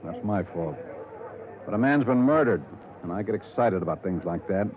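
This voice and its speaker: An actor playing a tough, weary middle-aged small-town police lieutenant in a 1950s radio detective drama, gruff and commanding with clipped questions. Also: male voice, on the low side, lively intonation, moderate pace, lightly acted. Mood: weary, conciliatory. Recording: 1950s radio broadcast, thin phone-like band.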